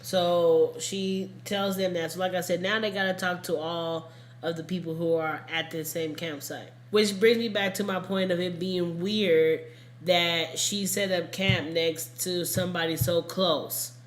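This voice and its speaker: Low-voiced woman podcaster in an echoey room. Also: fairly even intonation, a little slow. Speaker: true crime podcast host. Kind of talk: casual conversational storytelling.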